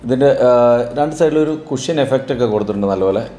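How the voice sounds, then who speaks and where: Man, fast-paced, mellow tone, explanatory product reviewer, demonstrating and rephrasing. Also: medium pitch, expressive. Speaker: product reviewer for a camera store. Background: background music.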